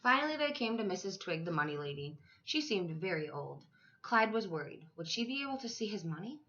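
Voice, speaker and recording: A woman's voice: medium pitch, sing-song, fairly quick, a bit bright, dull audio, a little hiss or hum. A woman reading a children's storybook aloud expressively, like a teacher to her class. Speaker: school teacher reading to third graders.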